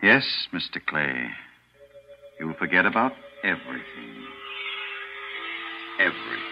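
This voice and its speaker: A calm, sinister doctor speaks in a very deep voice. He sounds unhurried and quietly menacing. The sound is dull and lo-fi, like a 1940s radio broadcast. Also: gravelly, music in the background, exaggerated voice. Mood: coolly menacing. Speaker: doctor character.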